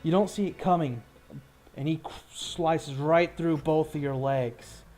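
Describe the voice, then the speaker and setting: A man's voice: fairly high pitch, measured pace, a little mellow, soft background music. A man narrating a tabletop roleplaying game as game master, telling the action in a casual, descriptive way.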